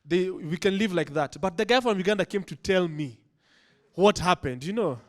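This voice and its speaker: A man's voice: high voice, lively intonation, moderate pace. A Kenyan man doing stand-up, chatting loosely with the crowd on a club stage. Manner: conversational, crowd-teasing, switching English and Swahili. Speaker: stand-up comedian. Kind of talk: stand-up set. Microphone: handheld stage mic.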